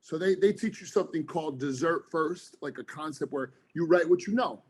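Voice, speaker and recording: A man, speaking a touch higher than most men. He talks earnestly and at length, with plenty of fillers. He sounds like a guest in a casual podcast chat. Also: fairly quick, husky. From New Jersey, United States. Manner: earnest, rambling, frequent fillers. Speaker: author guest, former police officer.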